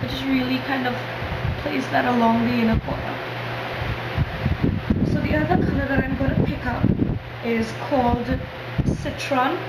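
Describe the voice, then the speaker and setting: A hoarse woman from Trinidad giving a calm step-by-step makeup tutorial. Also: high-pitched, moderate pace, long pauses. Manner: calm step-by-step instructions, soft fillers. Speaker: beauty vlogger. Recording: echoey room with loud background noise.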